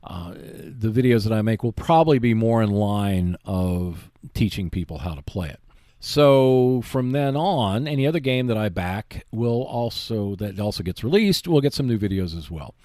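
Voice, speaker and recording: Male gaming podcaster, fairly deep, conversational explainer, very dry recording with no room sound. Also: animated, normal speed, warm tone, no echo. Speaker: podcast host. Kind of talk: solo gaming podcast, explanatory talk with tips.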